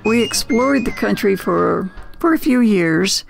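A woman's voice: mid-range voice, expressive, vocal fry, loud music behind the voice. An older woman with an American accent telling nostalgic memories in a reflective, conversational way. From United States. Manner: reflective, conversational, unhurried with pauses. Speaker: storyteller. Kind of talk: nostalgic first-person storytelling.

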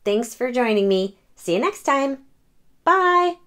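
A woman hosting a children's read-aloud, narrating a picture book in an expressive storytelling manner. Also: high voice, slow.

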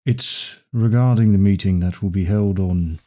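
A man playing a devoted, secretive CEO in an intimate audio roleplay, soft-spoken and husky, with sighs and pauses. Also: deep voice, lightly acted. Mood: calm, tender. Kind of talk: intimate ASMR roleplay acting, one side of a dialogue.

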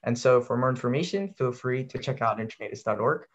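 A man's voice: mid-range voice, lively intonation, quick pace. A young man, a student host giving a formal, polite prepared introduction on a video call. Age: teens to young adult. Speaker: student club president, host. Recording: dull lo-fi video-call audio.

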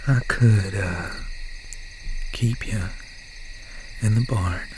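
Man playing a slow, whispering, obsessed slasher boyfriend. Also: on the low side. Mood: cold, possessive. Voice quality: breathy, often whispering. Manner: slow, halting, folksy, menacing. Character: obsessed, menacing slasher boyfriend, rural serial killer. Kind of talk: ASMR roleplay, slow and intimate. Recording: noisy night ambience with crickets, owl. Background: crickets, insects and an owl.

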